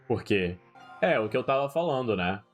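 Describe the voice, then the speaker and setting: A man's voice: mid-range voice, very animated, normal speed, soft background music. A young man with a Brazilian Portuguese accent, a streamer giving rambling, profane, slangy gaming commentary.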